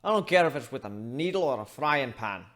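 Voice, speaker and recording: A man voicing a gruff, grumbling pirate shipbuilder with rough colloquial speech, in a dramatic reading. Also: mid-range voice, expressive, brisk, a bit bright, lightly acted. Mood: exasperated. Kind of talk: dramatic reading of fan fiction.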